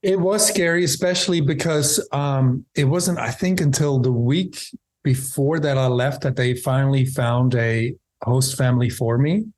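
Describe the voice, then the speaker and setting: A man, talking easygoingly as a podcast guest over a remote call, with fillers and pauses. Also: medium pitch, a little mellow. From Belgium. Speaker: podcast guest, documentary filmmaker. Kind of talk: casual interview chat. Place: home room with window.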